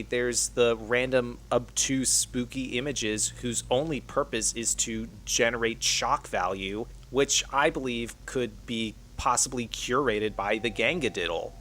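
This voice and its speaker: A man's voice: mid-range voice, bright, forceful tone, slight background noise. A man reading a scripted video essay as the narrator of an online channel.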